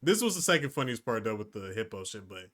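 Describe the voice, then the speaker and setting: A man's voice: fairly low pitch, sing-song, quick pace, fairly bright tone. A young American man hosting a reaction video, casual and amused, with plenty of slang and swearing.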